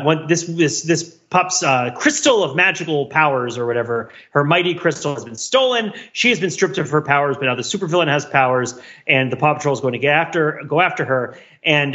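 Guy on a podcast, higher voice, goes all over the place, rambly and funny, bit lo-fi. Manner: rambling, animated riffing with piled-up asides. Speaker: podcast co-host. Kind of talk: casual comedic film discussion.

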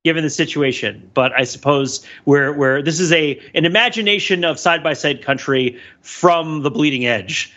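A man's voice: fairly high pitch, moderate pace, hardly any pauses, pushed and bright, dull audio. A man co-hosting a podcast, chatting casually with playful, emphatic storytelling. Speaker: podcast co-host. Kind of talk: casual chat, telling anecdotes.

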